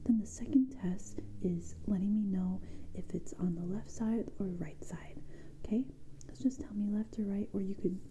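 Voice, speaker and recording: A woman whispering breathily in a gentle, reassuring way, doing an ASMR medical roleplay as an audiologist. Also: medium pitch, a little hiss or hum, low-quality recording. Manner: gentle, reassuring, soft-spoken.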